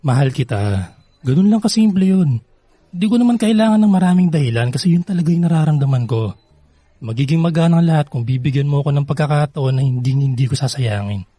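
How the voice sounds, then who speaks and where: An actor playing a lovesick, persistent young ex-boyfriend in a Filipino radio drama, earnest and pleading. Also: male voice, a little high, animated, fast, warm tone, slightly rough, dry recording. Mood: tender, earnest. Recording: music bed behind the voice.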